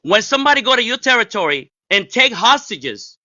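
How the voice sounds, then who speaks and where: A man with a loud voice argues hard in a heated online debate, cutting in and repeating himself for emphasis. His voice sits high and sounds very bright and forceful. The sound is dry and somewhat dull, like a lo-fi call. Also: sing-song, brisk, no room sound. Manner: loud, interrupting, emphatic, non-native grammar. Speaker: debate caller. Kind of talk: heated live-stream debate.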